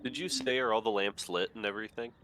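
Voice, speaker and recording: A man playing a tabletop game, talking casually and haltingly with many fillers. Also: on the low side, a bit bright, slight background noise. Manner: hesitant, halting with "uh" and "kinda". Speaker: player.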